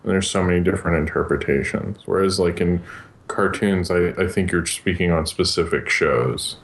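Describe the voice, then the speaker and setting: A man's voice: low-pitched, normal speed. A man in a podcast chat who rambles, trails off and plays down his own opinions. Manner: rambling, trailing off, self-deprecating.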